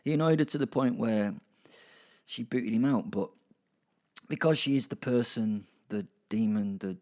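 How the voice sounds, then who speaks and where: A man's voice: mid-range voice, moderate pace, warm tone. A man hosting a podcast in a casual, rambling way, chatty and dryly jokey, often interrupting himself. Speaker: podcast host and narrator. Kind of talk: casual rambling recap to listeners. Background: music under the voice.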